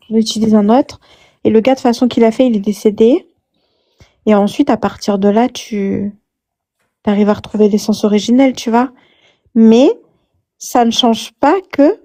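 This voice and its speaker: A woman's voice: fairly high pitch, warm tone. A woman in a live online debate, insistently explaining her method and repeating her point. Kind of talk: casual live discussion.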